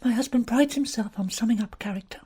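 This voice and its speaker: A man's voice: very high voice, fast, mellow, husky, voice pushed up. A man voicing a nervous, chattering young wife. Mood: teasing. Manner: light, chattering, a little feverish. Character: slender, nervous young wife in her twenties.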